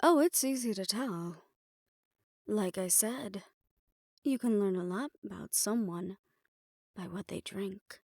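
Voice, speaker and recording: She is the poised female manager of a secretive hotel for assassins, acted by a woman in an audio roleplay. She speaks slowly and formally, every courtesy edged with condescension, in a close, dry recording. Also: mid-range voice, vocal fry, darkish tone, no echo. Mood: gracious, smooth. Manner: formal, measured, politely condescending. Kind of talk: scripted audio roleplay addressed to the listener.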